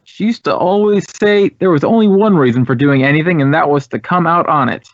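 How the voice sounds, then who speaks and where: A man's voice: a little high, brisk, dry recording, natural voice. An American man playing an earnest, talkative drama school head in a radio drama, speaking with emphatic, reflective phrasing. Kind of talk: 1950s radio drama dialogue. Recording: dry, dull vintage radio recording.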